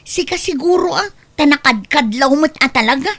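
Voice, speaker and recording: A woman's voice: high-pitched, animated, normal speed, a bit bright. A woman with a Filipino accent playing a reproachful, indignant household servant in an audio comedy drama. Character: indignant female servant defending her good name. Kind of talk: Ilocano comedy radio-style drama acting.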